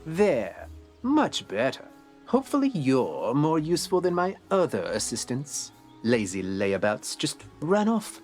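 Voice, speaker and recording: A woman's voice: sits low, huge pitch swings, a little slow, darkish tone, faint music behind, heavily acted. A woman voicing a bossy, self-important young wizard student in a video game, with a haughty, theatrical delivery. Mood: brisk, disdainful. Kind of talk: scripted video game character acting.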